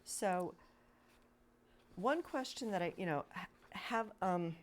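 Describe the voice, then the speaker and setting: Slow, low-voiced woman host. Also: lively intonation. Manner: hesitant, filler-heavy questioning. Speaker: podcast host. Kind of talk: interview questions.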